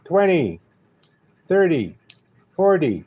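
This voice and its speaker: A man's voice: a little high, sing-song, slow, darkish tone, breathy edge, muffled recording. A man from New York with a Brooklyn accent, chatting casually and joking with viewers on a live stream.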